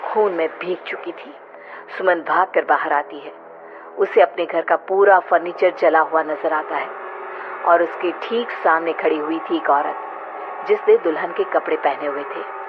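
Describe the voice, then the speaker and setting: A woman giving scripted narration of a horror story as its narrator. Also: on the low side. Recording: tinny, noisy band-limited audio.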